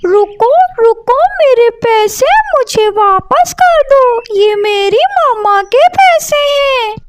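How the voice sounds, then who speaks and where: Woman doing little boy crow in a cartoon, cheeky, really high voice. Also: animated, slow, a little hiss or hum, full character voice. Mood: frantic.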